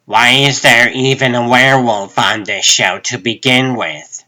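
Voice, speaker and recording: A man's voice: medium pitch, steady tone, unhurried, sharp tone, voice pushed up. A man voicing a harried, skeptical assistant to a television producer in a comic book dub, pointed and questioning. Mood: puzzled.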